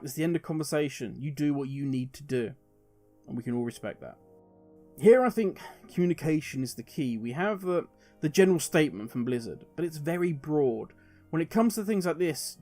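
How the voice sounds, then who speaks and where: A British man giving conversational opinion commentary to viewers as a gaming host, explaining in a measured way with deliberate pauses. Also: a little high, darkish tone. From United Kingdom. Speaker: gaming video creator and host.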